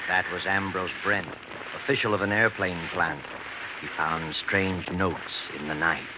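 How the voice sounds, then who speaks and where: An American man playing a sinister, all-knowing mystery narrator, ominous and deliberate, in 1940s radio style. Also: sits low, steady tone, noisy background. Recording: muffled 1940s radio recording, loud music behind.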